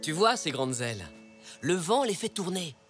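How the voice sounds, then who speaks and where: A man playing a cheerful, playful zebra father in a children's cartoon, expressive and full of exclamations. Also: on the high side, normal speed, over music. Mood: cheerful, helpful. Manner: expressive, exclaiming, explains patiently.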